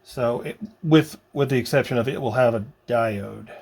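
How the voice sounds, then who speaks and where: A man narrating a hands-on DIY job casually, halting and muttering to himself as he works. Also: mid-range voice, creaky, a little mellow. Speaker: vlogger building a radio kit.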